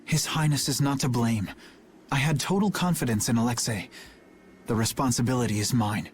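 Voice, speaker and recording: An actor playing an earnest, dutiful young knight captain in a video game, speaking formally and with solemn restraint. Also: male voice, medium pitch, moderate pace, slight background noise, close to an everyday voice. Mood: solemn confession. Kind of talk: English video game voice acting.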